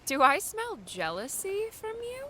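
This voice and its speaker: A woman's voice: very high-pitched, wildly swooping pitch, measured pace, pushed and bright, a little hiss or hum, full character voice. A woman playing a feisty, blunt young woman in an audiobook, challenging and pointed in her delivery. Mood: teasing. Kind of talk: audiobook character dialogue.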